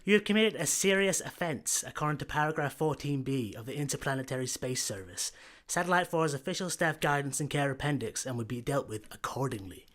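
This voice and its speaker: A man's voice: medium pitch, animated, a little fast, a bit bright, lightly acted. A man playing a pompous, officious space service disciplinary official with formal speech in a sci-fi comedy radio play. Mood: stern, pompous.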